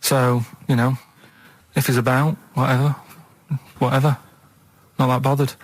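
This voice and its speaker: A man bantering casually on the radio in a stubborn, deadpan, matter-of-fact way. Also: medium pitch, moderate pace.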